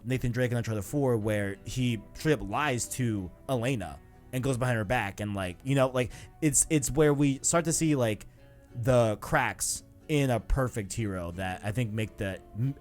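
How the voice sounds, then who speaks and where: A young man with a medium-pitched voice chats as a podcast co-host about games. He is agreeable and feels his way through sentences haltingly, repeating words for emphasis, with music behind him. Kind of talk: casual video game discussion.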